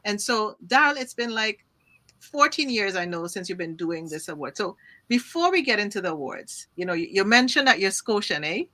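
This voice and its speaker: A woman's voice: mid-range voice, big pitch swings, normal speed, bright, forceful tone. A woman hosting an online talk show, interviewing guests in a bubbly, playful, effusive way. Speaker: host.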